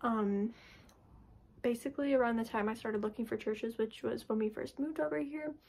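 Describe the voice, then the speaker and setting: A young woman talks straight to a close camera in her bedroom, her voice a bit raspy as she recovers from being sick. She sits fairly high in pitch with a soft, mellow tone. She rambles loosely, padding her sentences with "like" and restarting them. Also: moderate pace. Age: young adult. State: raspy from recent illness. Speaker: vlogger. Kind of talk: casual personal vlog. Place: bedroom, indoors. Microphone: camera in front at close range.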